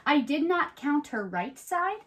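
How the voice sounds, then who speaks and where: A woman's voice: high voice, very animated, a little slow. A woman hosting a children's show, reading a picture book aloud expressively and explaining things simply. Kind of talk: expressive read-aloud storytelling with playful chat.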